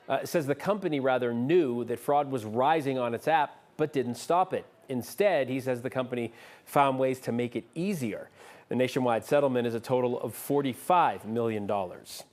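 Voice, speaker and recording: A man reading the news as the studio anchor, steady and measured. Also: medium pitch.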